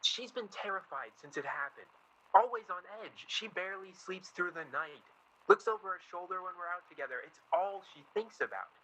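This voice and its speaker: Guy acting earnest exasperated young man in a radio drama, halting, really bright tense voice, faint noise behind. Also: sits high, animated, poor audio. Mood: worried. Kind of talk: radio play dialogue, naturalistic period drama.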